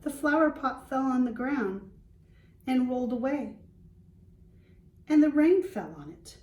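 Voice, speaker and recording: A woman with a somewhat high voice reads a picture book aloud as a teacher would. She goes very slowly, explains in simple terms and pauses for questions. The sound is roomy and echoing, picked up at a distance from the microphone. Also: lively intonation, darkish tone. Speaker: schoolteacher reading to class. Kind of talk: children's storybook read-aloud with questions.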